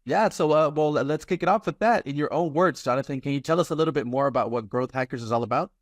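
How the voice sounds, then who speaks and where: A man's voice: fairly high pitch, fast, no echo, studio quality. A man hosting an interview podcast in an informal, chatty way.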